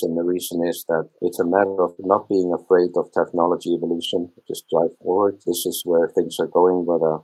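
Husky Icelandic-accented man, low and level. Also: a little mellow, poor audio. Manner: confident, explains with analogies. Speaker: guest, smart home product director. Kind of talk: podcast interview answers.

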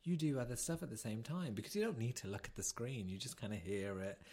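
A man's voice: medium pitch, quick pace, darkish tone, breathy edge. A man co-hosting a podcast, bantering casually in a playful, chatty way and reacting to his partner. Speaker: podcast co-host.

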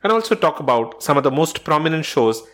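A man's voice: a little high, very animated, quick pace, a bit bright. A man presenting a scripted solo podcast as its host, speaking in an instructional way directly to listeners.

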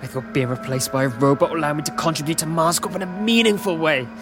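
A man's voice: on the high side, quick pace, music in the background, lightly acted. An actor playing a male colony farm worker in an audio comedy drama. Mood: fed up.

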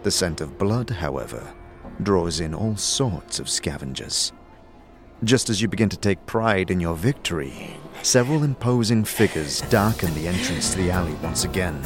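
A man narrating a fantasy audio drama with measured, theatrical storytelling in a dramatic scripted style. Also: deep voice, expressive. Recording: prominent music behind the narration.

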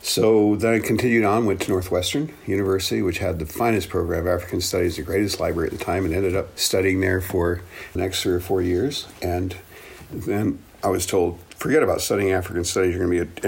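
Deep, gravelly older man telling his story. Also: fairly even intonation, fast. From Belgian Congo. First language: English and Swahili. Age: 70s. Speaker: interview guest, African studies professor. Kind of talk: reflective personal storytelling.

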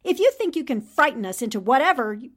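A poised, plucky lady columnist with a fairly high, animated voice. She is articulate, polite and firm, in the manner of an old radio drama heroine. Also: quick pace. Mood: defiant. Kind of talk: 1940s radio drama acting.

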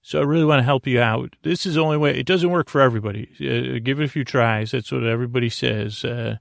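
A man hosting a sleep podcast, rambling gently and soothingly in a halting, whimsical monologue that keeps interrupting itself. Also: mid-range voice, no echo, clean studio sound. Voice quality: gravelly, creaky. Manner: halting, meandering, self-interrupting. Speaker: podcast host.

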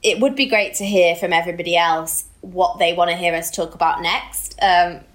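A British woman with a slightly low-pitched voice chats casually as a podcast co-host. Her delivery is informal and conversational, with a giggly streak. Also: moderate pace.

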